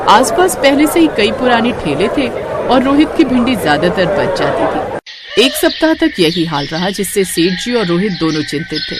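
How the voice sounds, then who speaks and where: Expressive woman narrating a story. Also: lowish voice, background noise.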